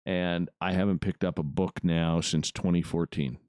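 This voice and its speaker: A man with a fairly low voice hosts a late-night radio chat in a relaxed, casual way. The sound is close and dry, with no room around him. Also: moderate pace, smooth, no echo. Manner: laid-back, says "man" a lot. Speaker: radio show host.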